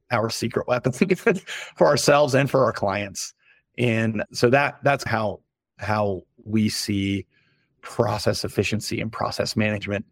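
Slightly hoarse man talking frankly. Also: fairly low pitch, dry recording. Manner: conversational, frank, lists points with filler "like". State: a little hoarse. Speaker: company founder, podcast guest. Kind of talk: casual business podcast talk.

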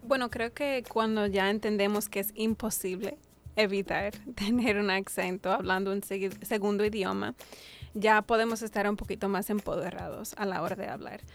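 A woman on a panel giving a measured, explanatory answer. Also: medium pitch, fairly even intonation, gravelly. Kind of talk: reflective panel discussion.

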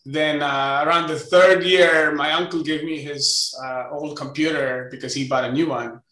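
A man's voice: fairly high pitch, steady tone, moderate pace, sharp tone, echoey room, rough recording. A man in his forties from India, with an Indian accent, casually telling stories as an interview guest. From Chennai, India. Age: 40s. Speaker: interview guest, company co-founder. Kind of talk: casual conversational storytelling.

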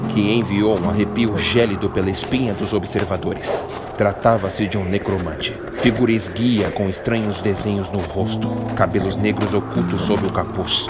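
A man narrating a fantasy audiodrama in a steady, dramatic storytelling manner. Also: on the low side.

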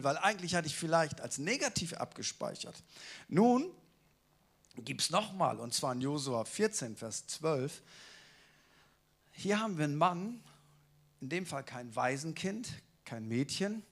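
A man's voice: on the high side, a bit bright. A man giving a casual, colloquial sermon who keeps asking the listeners rhetorical questions. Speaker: preacher.

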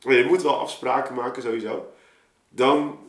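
A man's voice: medium pitch, steady tone, normal speed. A man chatting casually and explaining his creative process, often digressing and interrupting himself mid-thought. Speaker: artist, story maker on a podcast. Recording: roomy, echoing room sound.

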